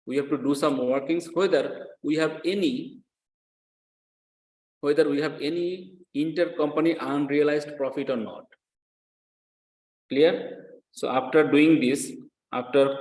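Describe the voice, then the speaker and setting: A man's voice: mid-range voice, darkish tone. A man lecturing in accounting step by step as a non-native English speaker, repeating phrases and often checking that students follow. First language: not English. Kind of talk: classroom lecture, explaining step by step. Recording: echoey room sound.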